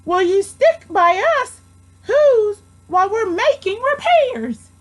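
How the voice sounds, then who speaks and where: A tiny storybook mayor, acted out by a woman, speaks very high above an everyday voice. The little figure sounds plaintive and pleading, with slow, careful delivery. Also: lively intonation, full character voice. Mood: distressed pleading.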